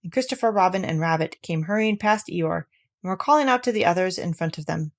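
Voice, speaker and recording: A woman reading a children's storybook aloud, telling it gently and with life. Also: lowish voice, lively intonation, a little fast. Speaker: narrator reading a children's book.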